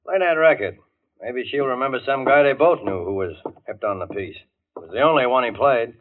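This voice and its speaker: An actor playing a brisk, cocksure police detective in a 1940s-style radio crime drama, slangy and assertive. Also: male voice, medium pitch, lively intonation, lightly acted. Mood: pressing. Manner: slangy, smooth-talking, assertive. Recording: tinny band-limited old radio, music behind.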